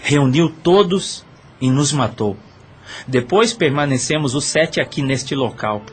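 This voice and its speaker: A man's voice: medium pitch, animated, measured pace, husky. A man playing a murdered brothel owner and killer who confesses matter-of-factly in an audio drama. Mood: explaining. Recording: faint background noise.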